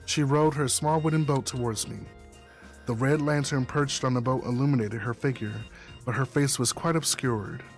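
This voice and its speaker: A man's voice: medium pitch, steady tone, moderate pace. A man reading a visual novel aloud as first-person story narration. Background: background music.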